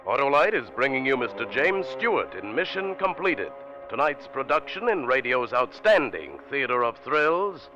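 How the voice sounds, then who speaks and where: Animated male vintage radio announcer. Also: medium pitch, moderate pace. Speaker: show announcer. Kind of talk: dramatic 1940s radio introduction. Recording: dull old radio recording, music behind.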